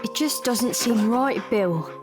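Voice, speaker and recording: A woman playing a reluctant, soft-hearted young London thief in an audio drama, with a weary, pleading manner. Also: fairly high pitch, expressive, slow, over music. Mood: regretful.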